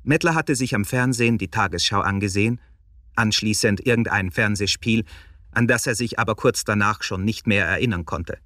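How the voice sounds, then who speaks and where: A man's voice: on the low side, moderate pace, bright, forceful tone, no echo, studio quality, recorded from a distance. A man narrating a radio drama as a scripted, literary third-person storyteller.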